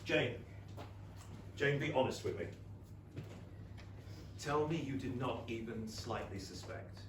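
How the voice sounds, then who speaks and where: An actor playing a gentle, pleading parent of grown daughters in Regency England, coaxing and theatrical, in a staged reading. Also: male voice, medium pitch, animated, slow pace. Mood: coaxing, compassionate. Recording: echoey theatre, distant noisy mic.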